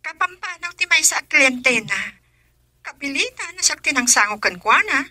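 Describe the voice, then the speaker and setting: A cheerful young office worker in an Ilocano radio drama. Her high, very bright voice swings in animated tones, with an Ilocano accent. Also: moderate pace, dry recording, clean studio sound, exaggerated voice. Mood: cheerful, chatty.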